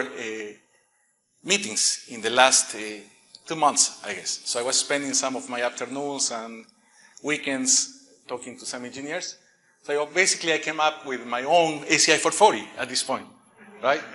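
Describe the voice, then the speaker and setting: A man's voice: medium pitch, pushed and bright. A middle-aged man from Peru giving a conference presentation on engineering, speaking with frequent fillers and restarts. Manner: halting, many fillers and restarts; light joking aside. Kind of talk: conference talk.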